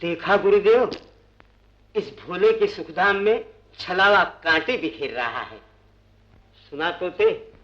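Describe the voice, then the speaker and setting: A crafty village soothsayer in a 1950s film comedy, delivering mock-solemn oracles with great pomp. The voice is fairly high and bright, on a dull, muffled old soundtrack. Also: male voice, lively intonation, slow pace, pauses often, heavily acted. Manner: pompous, mock-solemn oracular pronouncements. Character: sly, money-taking male village fortune-teller speaking for his guru.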